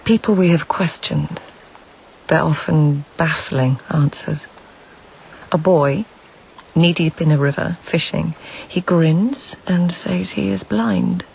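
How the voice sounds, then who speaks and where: An observant court lady in a radio drama. Her voice has a marked creak and she phrases things in measured, literary fragments. Faint ambience lies behind her. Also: sits low, darkish tone, slight background noise, full character voice, poor audio. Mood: reflective, measured. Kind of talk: radio drama narration and dialogue.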